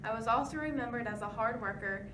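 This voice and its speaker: A woman's voice: on the high side, quick pace, close to an everyday voice. A woman playing a pioneering 1920s female tennis champion, answering interview questions from a rehearsed script. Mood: matter-of-fact. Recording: noisy, echoey room, distant mic.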